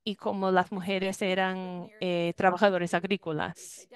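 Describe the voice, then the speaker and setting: A woman working as an interpreter, giving a steady, fluent spoken translation over a video call, with a few brief restarts. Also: lowish voice, unhurried, clear, resonant tone. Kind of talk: live consecutive interpretation into Spanish. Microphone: video call microphone.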